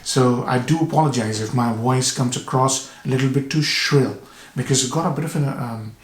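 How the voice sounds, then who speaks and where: Hoarse, congested man raving about scent. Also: medium pitch. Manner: enthusiastic, repeats words, pauses for breath. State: hoarse from a cold, blocked nose. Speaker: fragrance reviewer. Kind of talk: casual product review to camera. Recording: echoey room.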